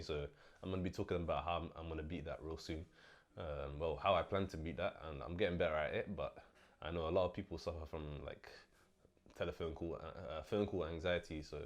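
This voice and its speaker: A young man hosting his own vlog, talking casually and a bit low on energy as he recovers from illness, with lots of fillers. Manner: relaxed, chatty, many fillers. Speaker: host. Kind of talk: casual vlog talk to camera.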